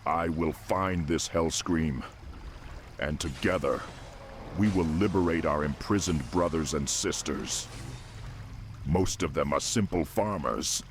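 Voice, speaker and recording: A man's voice: a bass voice, measured pace, background noise. A man playing an earnest, determined young orc escaped from slavery, speaking formally in a game cutscene. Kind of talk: dramatic game cutscene acting. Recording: music under the voice.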